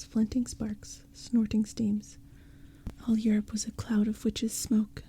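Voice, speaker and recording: Female narrator, hushed ASMR-style reading, dark and airy. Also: mid-range voice, husky. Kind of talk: whispered storybook narration.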